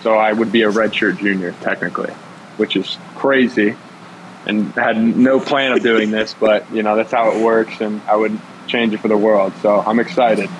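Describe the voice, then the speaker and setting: A man's voice: fairly low pitch, steady tone, moderate pace, a little hiss or hum, low-quality recording. A man in his twenties, a college football player, chatting easily in an interview with many fillers. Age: 20s. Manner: easygoing, rambling, filler-heavy. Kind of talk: casual interview.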